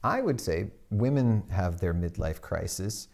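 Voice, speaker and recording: A middle-aged man co-hosting a casual talk show, speaking haltingly and telling anecdotes. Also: sits low, animated, creaky, darkish tone. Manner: halting, hesitant, retells anecdotes. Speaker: co-host. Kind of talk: casual chat.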